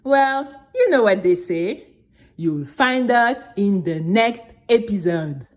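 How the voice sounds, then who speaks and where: A woman playing a brave young girl who tells her own story in an expressive, exclamatory way for a children's audio drama. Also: medium pitch. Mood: knowing, playful. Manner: expressive, exclamatory, with drawn-out emphatic words.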